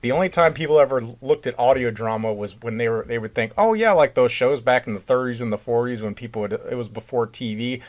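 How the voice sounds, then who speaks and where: A man's voice: mid-range voice, fast talker, few pauses. Easygoing man chatting off the cuff. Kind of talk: casual unscripted podcast chat.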